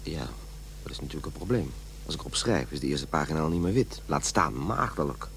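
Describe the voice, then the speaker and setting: A man's voice: a bass voice, animated, a little fast, a bit bright, a little hiss or hum, full character voice. An actor playing a fussy, self-important man who dictates his journal and mutters corrections, in a radio play. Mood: pompous, hesitant.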